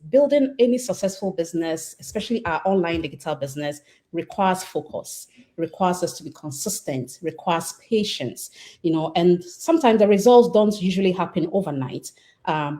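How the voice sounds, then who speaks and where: A woman from Ghana hosting a live online panel discussion, speaking in a measured way with occasional fillers. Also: on the low side, dark tone. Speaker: host.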